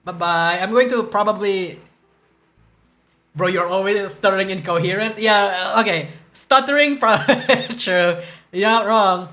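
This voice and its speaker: A man's voice: sits high, a little slow, pushed and bright. A young man streaming live, rambling and swearing as he reacts to news, groggy from a hangover and stuttering. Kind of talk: casual livestream chat reacting to news.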